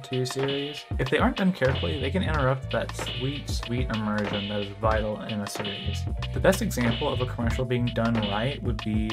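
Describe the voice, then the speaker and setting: A man's voice: mid-range voice, a little fast, few pauses. A young American man narrating an opinionated commentary video, emphatic and at times exasperated. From United States. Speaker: video essay creator. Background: background music.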